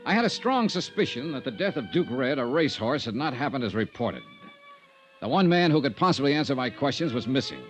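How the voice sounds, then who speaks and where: An American actor playing a self-assured, persistent insurance investigator in a 1950s radio drama, speaking in a clipped, dry, probing way. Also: male voice, mid-range voice, sing-song, fairly quick, over music, natural voice. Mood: dry, narrating. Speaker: lead actor and narrator. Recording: noisy vintage radio recording.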